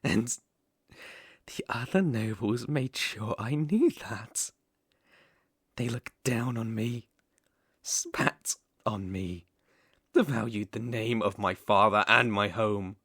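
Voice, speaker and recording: A man's voice: fairly low pitch, fairly bright tone, slightly rough, dry recording, clean studio sound, exaggerated voice. A man playing an obsessive, sinister young villain king in an ASMR roleplay, speaking theatrically and sometimes dropping to a whisper. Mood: enraged. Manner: sinister, theatrical, slow drawn-out phrasing with whispers.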